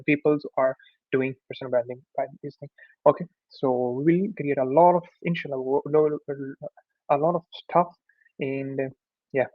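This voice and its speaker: A man's voice: mid-range voice, no echo. Hesitant non-native man, tinny sound. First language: not English. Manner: hesitant, many fillers and restarts. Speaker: tutorial host. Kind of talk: unscripted course introduction with screen share.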